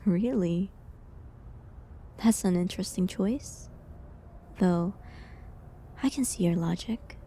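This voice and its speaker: Female actor for condemned village healer in audio drama: resigned, soft, halting, mellow tone, faint background noise. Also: lowish voice. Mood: wry, resigned. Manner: soft, hesitant, breathy delivery with pauses. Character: falsely accused village healer awaiting execution, sad and resigned. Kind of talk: dramatic audio roleplay monologue, medieval setting.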